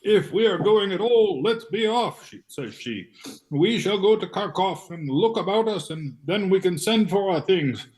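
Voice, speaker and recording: A man playing a meek, wistful hanger-on in an amateur play reading, in a plaintive, deliberate manner. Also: high voice, lively intonation, a little mellow, poor audio. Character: meek, wistful male hanger-on in rural Russia.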